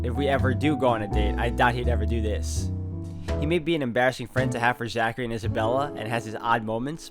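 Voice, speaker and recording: A man reading first-person visual novel narration aloud as he plays through the game. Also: mid-range voice.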